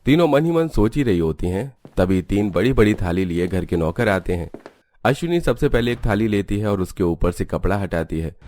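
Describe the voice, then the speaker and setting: A man's voice: fairly low pitch, lively intonation, moderate pace, darkish tone, slight background noise. A man reading scripted narration for a cartoon story.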